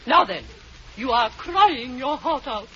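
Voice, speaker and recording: A woman's voice: sits high, lively intonation, slow pace, sharp tone, highs cut off, slight background noise, full character voice. An actress playing a fussy, theatrical female drama coach in an old radio comedy, recorded with a studio audience. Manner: theatrical, gushing, instructing. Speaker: drama coach running auditions. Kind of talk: 1940s radio comedy acting.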